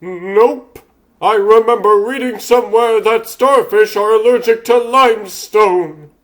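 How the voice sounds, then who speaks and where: A man's voice: high voice, a little slow, a bit bright. A man voicing an earnest, declamatory rabbit superhero who leads his team in a comic dub. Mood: explanatory. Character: plucky earnest cartoon rabbit superhero leader.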